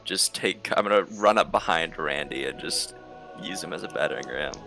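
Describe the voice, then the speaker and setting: Deep, gravelly male tabletop gamer. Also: fairly quick, pushed and bright, faint music behind. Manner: hesitant, thinking aloud. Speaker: tabletop game player. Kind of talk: casual game chat.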